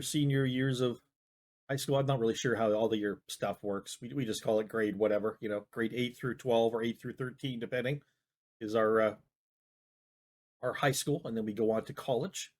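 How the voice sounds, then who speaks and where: A man's voice: medium pitch, moderate pace, darkish tone. A man giving casual reaction commentary, rambling and hedging with frequent restarts. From Canada. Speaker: YouTube commentator.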